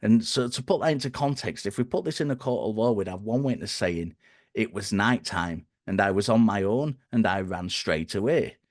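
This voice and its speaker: A man with a croaky, dry voice, explaining his reasoning in a thoughtful way and often restarting his phrases. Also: fairly low pitch, expressive, normal speed, no room sound. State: hoarse, croaky. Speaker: guest speaker. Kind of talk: conversational explanation.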